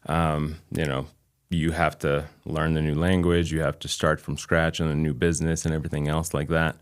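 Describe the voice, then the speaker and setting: A man in his thirties, a native Albanian speaker from Kosovo with a light New England accent, talking as a guest in a measured, reflective way with frequent pauses. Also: extremely low pitch, level tone, smooth. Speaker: guest chiropractor. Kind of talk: casual interview chat.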